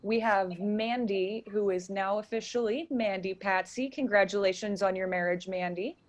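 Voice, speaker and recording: Female interview guest, mid-range pitch, chatty and enthusiastic, conversational delivery. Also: fairly even intonation, moderate pace. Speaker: interview guest, theater stage manager and director.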